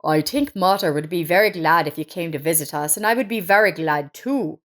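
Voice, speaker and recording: A woman's voice: fairly low pitch, fairly quick, natural voice. A woman voicing an earnest, firm grown son in a narrated life story. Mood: warm. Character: resolute grown son, protective of his mother.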